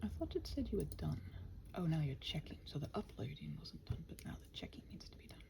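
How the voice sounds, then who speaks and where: A woman's voice: low-pitched, sing-song, fast talker, vocal fry. A woman hosting a rambling ASMR work session, muttering to herself, often half-whispered, with faint noise. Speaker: host of a body-doubling ramble. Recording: faint noise, keyboard typing. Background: computer keyboard and typing.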